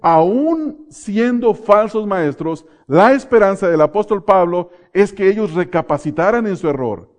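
A middle-aged Mexican-born man delivers a sermon in a fairly high voice. He asks his listeners questions, then answers them himself. He drags out the key phrases for weight. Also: expressive. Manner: slows down to stress key phrases. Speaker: preacher.

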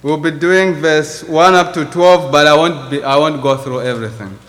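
A man's voice: on the high side, steady tone, normal speed, fairly bright tone, in a large hall. A man from Zambia with a Zambian accent, preaching a sermon in church and reading scripture earnestly. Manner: earnest, reads scripture with rising emphasis. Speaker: visiting preacher.

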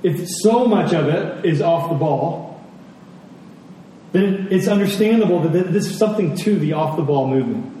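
Echoey, mellow-voiced man preaching. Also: on the high side, lively intonation, moderate pace. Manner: earnest, rhetorical questions, repeats phrases for emphasis. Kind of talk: sermon, conversational teaching with scripture readings. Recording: very echoey hall, distant mic, faint noise.

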